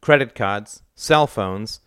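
A man's voice: lowish voice, slow pace, a bit bright, clear, resonant tone, no room sound, studio quality. A man voicing a frazzled, exasperated mother of teenagers in an audiobook story, speaking emphatically. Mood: resigned.

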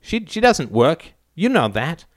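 A man's voice: a little high, sing-song, no room sound, studio quality, pitched above the performer's own voice. A man reading aloud and voicing a timid grown son who stammers and hesitates when defending himself. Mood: defensive stammer. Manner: halting, stammering.

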